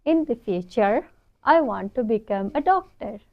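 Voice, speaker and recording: Woman, English teacher, higher-pitched voice, very slow deliberate phrasing, repeat-after-me lesson style, slightly dull recording. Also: animated, gravelly. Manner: deliberate, phrase-by-phrase instructional delivery. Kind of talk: spoken English lesson with model sentences to repeat.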